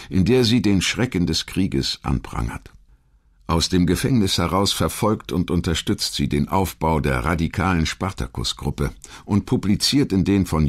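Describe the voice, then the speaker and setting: A man reading a scripted historical documentary narration in a measured, dramatic way. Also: sits low.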